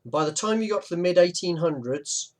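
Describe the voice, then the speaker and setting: A man's voice: sits high, brisk. A man narrating a local history video in a chatty, informal way. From West Midlands, England. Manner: chatty, relaxed, with fillers and asides. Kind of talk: informal explanatory narration over maps.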